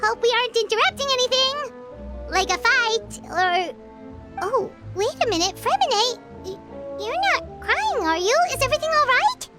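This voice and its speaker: A woman voicing a small, childlike fairy companion in a game, chatty, eager and easily flustered, with a squeaky voice. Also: big pitch swings, normal speed, loud music behind the voice. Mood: concerned. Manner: stammering, refers to herself by name. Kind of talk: animated game voice acting.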